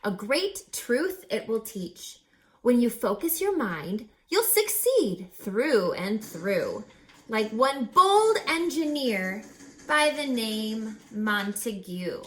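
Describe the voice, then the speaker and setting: A woman reading a rhyming children's picture book aloud as a teacher, with theatrical, deliberate, emphatic phrasing. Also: fairly high pitch, sing-song, fairly bright tone, a little hiss or hum, poor audio. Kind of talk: expressive children's read-aloud of rhyming verse.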